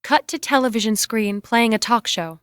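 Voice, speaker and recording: A woman's voice: fairly high pitch, moderate pace, fairly bright tone, smooth, no echo, clean studio sound. A woman reading out the scene directions of a screenplay as scripted narration. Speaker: reader of stage directions.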